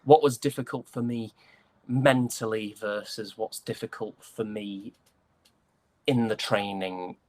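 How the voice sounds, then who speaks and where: A man's voice: lowish voice, steady tone, measured pace, a little mellow. A young British man answering interview questions conversationally, thoughtful and often hesitating as he searches for the right words. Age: young adult. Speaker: stage actor being interviewed.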